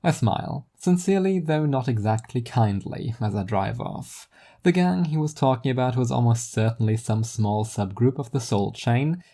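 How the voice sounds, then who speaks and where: Fiction narrator, first person, wry and literary, relaxed dark timbre, mid pitch. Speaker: narrator and storyteller.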